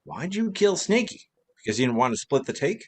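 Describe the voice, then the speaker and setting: A man's voice: medium pitch, very animated, fairly quick, close to an everyday voice. A man playing a wry, wisecracking, tipsy former detective in a vintage-style radio play. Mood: cool, scheming. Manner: wisecracking, teasing.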